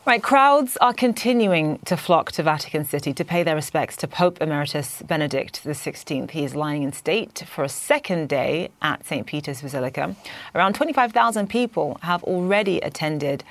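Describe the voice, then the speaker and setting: A woman anchoring a business news show, speaking in a brisk, polished way. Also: low voice, animated.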